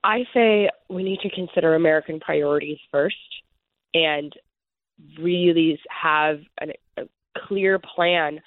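A woman from Somalia, a congressional candidate, giving earnest, measured answers as an interview guest over the phone. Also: sits low, dry recording. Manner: earnest, measured, political talking points. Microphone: phone call. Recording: tinny phone line, dry.

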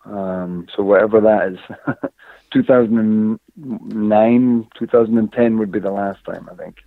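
Interview guest, male, from Scotland, low-pitched, hesitant, heard over a dry phone line. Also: fairly even intonation, measured pace, no room sound. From Scotland, raised in northern England. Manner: hesitant, many fillers and restarts. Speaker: guest, former football player. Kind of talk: casual interview, storytelling.

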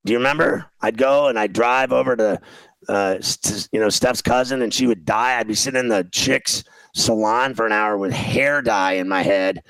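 A man in his fifties with a gravelly, fry-laden voice, talking as a show host. He rambles in a casual, exasperated way, poking fun at himself with slangy griping. The sound is close and very dry. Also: fairly low pitch, moderate pace, fairly bright tone, dry recording, poor audio. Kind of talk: casual rambling comic rant.

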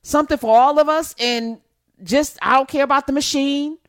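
Animated, emphatic woman host, very dry sound. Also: high-pitched, moderate pace, no room sound. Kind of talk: casual political chat.

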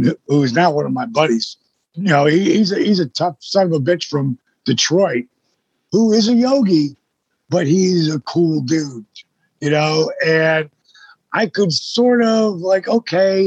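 A man in his sixties with a husky voice, a guest telling his story in a blunt, emphatic way with some swearing. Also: a little high, darkish tone, dry recording, highs cut off. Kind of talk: casual storytelling in an interview.

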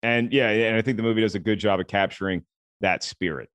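A man with a deep voice, a film critic chatting as a podcast guest and telling relaxed stories. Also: quick pace, clean tone, no room sound. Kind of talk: podcast conversation.

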